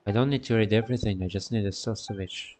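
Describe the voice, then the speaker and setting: A man's voice: on the low side, quick pace, a little mellow, slight background noise. A man giving casual gameplay commentary, reading bits aloud and muttering puzzled asides to himself, often repeating phrases. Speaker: game streamer. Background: game music.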